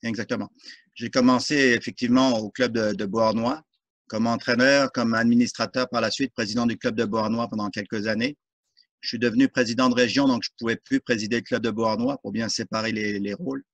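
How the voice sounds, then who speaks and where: Referee, measured and orderly. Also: male voice, medium pitch, fairly even intonation, no echo, highs cut off. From France. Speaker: guest, soccer referee and volunteer. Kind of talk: interview answers.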